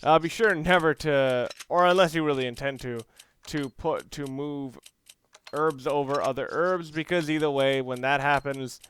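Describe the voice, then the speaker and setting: Higher-pitched man doing gameplay commentary. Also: fairly bright tone, clean tone. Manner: explanatory, halting, rephrases as he plays. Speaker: let's play commentator. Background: video game audio and music.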